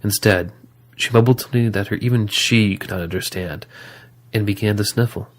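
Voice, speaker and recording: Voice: deep voice, a little fast. A narrator reading scripted third-person story narration for an audio drama.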